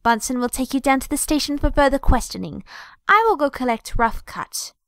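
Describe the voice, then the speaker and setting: A woman playing a brisk, wry pony police sergeant in an audio drama. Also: high voice, fairly bright tone, dry recording. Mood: brisk, sarcastic.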